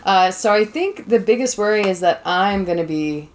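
A woman's voice: medium pitch, lively intonation, moderate pace. A woman in her thirties hosting a podcast in a chatty, casual way, laughing often. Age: 30s. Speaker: podcast host and lecturer. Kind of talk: casual chat.

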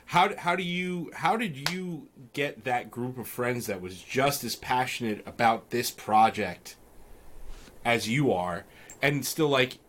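A man's voice: mid-range voice, lively intonation, slow pace, a bit bright. Rambling male podcast co-host. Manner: rambling, restarts while asking long questions. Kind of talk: casual podcast chat.

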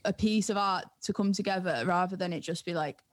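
A young woman with a North East English accent chatting casually as a music producer, informal and halting, often saying "like". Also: fairly low pitch, dry recording, studio quality. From North East England. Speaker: music producer and artist, interview guest. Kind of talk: casual chat.

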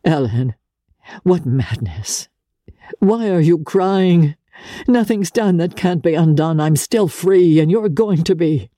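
Audiobook role: woman plays a reckless, lovestruck gentleman, urgent and insistent in formal phrases, with a soft, dark-toned voice. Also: on the low side, lively intonation, takes it slowly, no echo, studio quality, voice pushed up. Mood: tender, urgent. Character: impassioned, reckless engaged gentleman in love. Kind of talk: dramatic literary audiobook reading.

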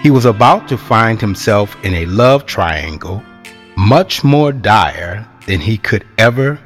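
A man giving measured, rhetorical narration for a scripted audio drama, as a reflective storyteller. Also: fairly low pitch, lively intonation, slightly rough, soft background music, heavily acted.

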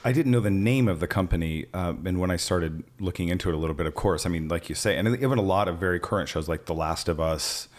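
Deep-voiced male podcast host. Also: fast talker, few pauses. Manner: enthusiastic, conversational questioning. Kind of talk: interview conversation.

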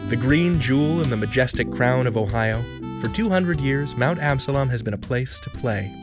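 An actress playing a grand, earnest narrator of a small-town promotional film, solemn and measured. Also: female voice, extremely low pitch, lively intonation, music in the background. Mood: proud, earnest.

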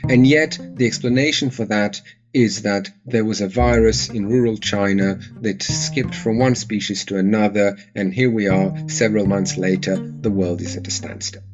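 A man from Serbia, a psychology lecturer answering interview questions in a calm, methodical way. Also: fairly low pitch, moderate pace, highs cut off, music in the background.

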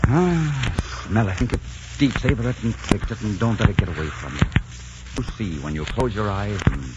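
An actor playing a dashing, boastful pirate captain in a 1940s radio drama, spinning tall tales and turning tender and dreamy. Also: male voice, lowish voice, lively intonation, moderate pace, heavy background noise, lightly acted. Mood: romantic. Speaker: pirate leader. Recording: muffled old radio broadcast with music.

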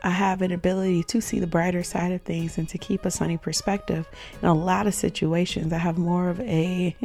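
A woman hosting her own faith podcast in a casual solo talk. She is hoarse and sounds upbeat and reflective, with frequent ums. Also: lowish voice, little pitch movement, brisk, a little mellow, faint music behind. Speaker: podcast host.

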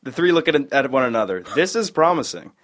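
A man narrates stage directions for a sitcom table read. His pitch is average for a man, and he reads scene headings and actions as scripted narration. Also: big pitch swings, brisk.

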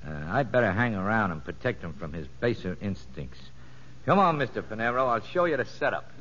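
A man's voice: fairly low pitch, very animated, a little fast, a bit bright. A male actor playing a wry, hard-boiled convict with a slangy, sardonic way of talking in a 1950s radio drama. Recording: muffled old radio broadcast.

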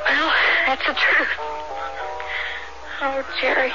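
A woman playing a frightened, bewildered young American woman in a 1970s radio drama, speaking in a halting, pleading way. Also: high-pitched, little pitch movement, dull audio. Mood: confused, distressed. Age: young adult. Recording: band-limited 1970s radio recording, music behind.

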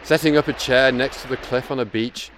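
Casting: male actor as elderly grieving widower for audio drama, reflective and weary, medium pitch, faint background noise. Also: steady tone, brisk, a bit bright, close to an everyday voice. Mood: wistful, weary. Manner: reflective, wistful, poetic. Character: grieving elderly widower looking back on his life, weary. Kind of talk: audio drama acting with monologue.

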